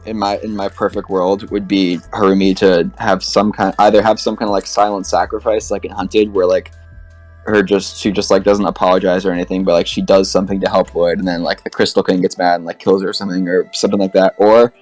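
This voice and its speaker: A man's voice: low voice, little pitch movement, a little fast, a little mellow, soft background music. A man chatting casually as a podcast panelist, rambling and opinionated about fan topics.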